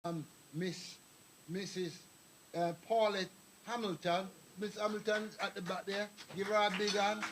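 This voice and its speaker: This is an older man, talking at a meeting hall. He tells stories, drifts off topic and calls out to people in the audience. His voice sits high, with a faint hiss under it. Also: fairly even intonation, measured pace. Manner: rambling, anecdotal, addresses the crowd directly. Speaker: speaker at a community meeting. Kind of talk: public address to an audience.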